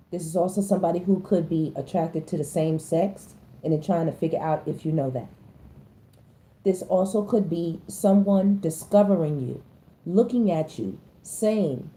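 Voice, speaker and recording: Hoarse woman with a very dark voice. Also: low-pitched, moderate pace, a little hiss or hum, low-quality recording. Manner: chatty, addresses listeners as y'all, stops to clear her throat. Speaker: tarot reader. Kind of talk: casual tarot reading to viewers.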